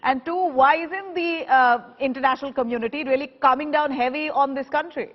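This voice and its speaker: An Indian woman anchors live news in a high-pitched voice. The sound carries a long reverb and is slightly dull, as on a muffled broadcast. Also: brisk.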